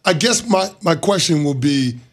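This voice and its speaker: A male co-host with a hoarse, husky voice and a slightly raised pitch. He argues football points emphatically and keeps asking pointed questions. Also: lively intonation, normal speed, faint music behind. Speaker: sports talk show co-host. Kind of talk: casual sports debate.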